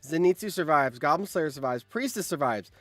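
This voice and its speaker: Animated man commentating, lively swinging pitch. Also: fairly quick, no echo, faint music behind. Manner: animated, breaks into surprised exclamations. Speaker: streamer host. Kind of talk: excited live commentary, reading out game results.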